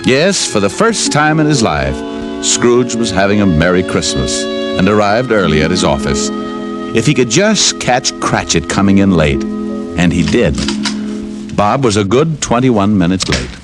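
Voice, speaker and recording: A man tells the story in a folksy, wry way, his voice rising and falling a lot. Loud violin music plays behind him. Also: on the low side, sing-song, a little slow. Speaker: narrator. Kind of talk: storytelling narration for radio.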